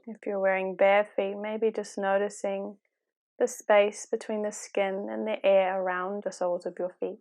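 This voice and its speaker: A woman speaks as a meditation guide at a medium pitch. Her delivery is calm and gentle, giving soft instructions without any hurry and leaving long pauses between phrases.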